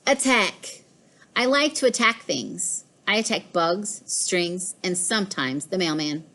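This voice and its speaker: A woman's voice: lowish voice, animated, slow pace, bright, forceful tone, heavily acted. A woman voicing a smug, mischievous pet cat in a storybook, with a boastful, emphatic delivery. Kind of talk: storybook read-aloud.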